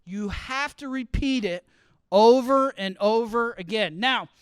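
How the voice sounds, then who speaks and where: A man giving a lively teaching talk to students, often asking the room questions as he explains. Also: very high voice, measured pace, clean tone, no room sound, studio quality. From grew up partly in Costa Rica. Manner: asks the audience questions, explains with extended metaphor. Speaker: college speaker teaching study skills. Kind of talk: interactive classroom talk.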